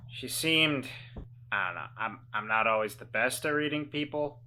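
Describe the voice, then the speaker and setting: A woman voicing a remorseful weasel man who once ran away from home, speaking in a halting, reflective way and gently encouraging others. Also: extremely low pitch. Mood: hesitant.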